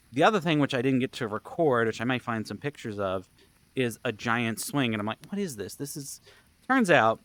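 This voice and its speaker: A man's voice: on the low side, fairly bright tone, dry recording. A man telling stories in a casual, rambling vlog style, with a jokey, self-deprecating manner. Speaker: vlogger and camp event organizer.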